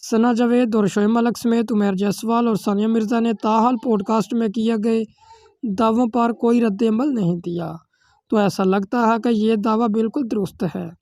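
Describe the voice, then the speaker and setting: Woman, Pakistani accent, scripted news narration, high pitch, dark soft-edged tone, steady formal reading. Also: normal speed, no room sound, clean studio sound. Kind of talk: scripted celebrity news voice-over.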